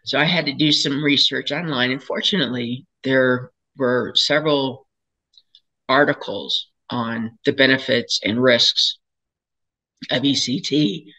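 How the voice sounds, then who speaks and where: An older woman, hoarse and raspy from a cold, candidly telling her own story as a patient on a video call, pausing and restarting as she talks. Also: rumbling low, bright, forceful tone, poor audio. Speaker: patient sharing her experience. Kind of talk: personal testimony at a panel. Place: home room, indoors, seated in a chair. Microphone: video call webcam.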